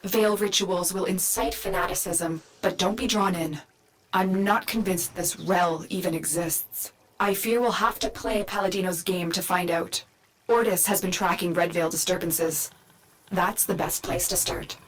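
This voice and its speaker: A woman's voice: lowish voice, steady tone, measured pace, poor audio. A woman playing a stern, watchful mission commander in a video game, composed and serious. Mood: guarded, resolute. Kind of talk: scripted video game dialogue.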